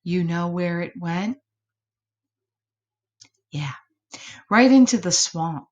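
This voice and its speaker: A woman reading a storybook aloud to children in an expressive way, with chatty asides to her listeners. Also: fairly low pitch, takes it slowly, dull audio. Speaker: storybook reader.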